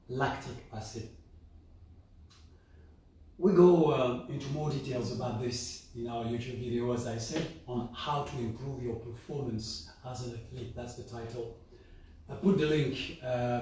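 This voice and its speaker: Raspy man in a very echoey room. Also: mid-range voice, darkish tone, a little hiss or hum. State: hoarse from a sore throat. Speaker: host of a health and juicing channel. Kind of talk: explanatory talk to viewers. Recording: very echoey room, distant microphone.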